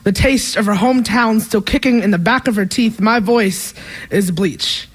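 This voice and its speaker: Young male spoken word poet, high voice, rhythmic and emphatic delivery. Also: moderate pace. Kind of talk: spoken word poetry performance.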